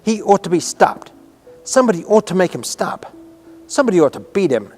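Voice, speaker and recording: A man's voice: a little high, expressive, darkish tone, faint music behind. A man voicing a flustered, frightened female nurse in a storybook, with an anxious manner. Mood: exasperated. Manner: hurried, anxious.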